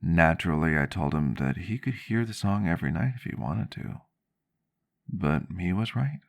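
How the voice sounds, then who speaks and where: A man plays a reflective male vampire doctor in an ASMR roleplay. His voice sits very low, with a heavy fry running through it. He tells his story gently, in measured and slightly antique phrasing. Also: lively intonation. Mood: wistful. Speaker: roleplay character telling a story.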